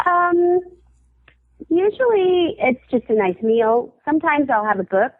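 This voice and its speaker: A woman guest on a comedy interview, chatting casually and halting often with fillers and restarts. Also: sits high, lively intonation. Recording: dry, phone-like narrow sound.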